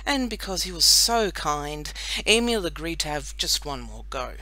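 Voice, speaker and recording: A woman narrating a children's audiobook in an expressive, scripted storytelling style. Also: low-pitched, normal speed, low-quality recording.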